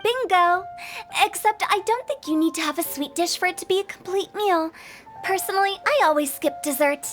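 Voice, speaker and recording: Quirky teenage game girl, very high voice, chatty, playful, mock-philosophical, self-assured, music underneath. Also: moderate pace, a bit bright. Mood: cheeky, pleased. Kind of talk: video game character acting. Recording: music playing behind the voice.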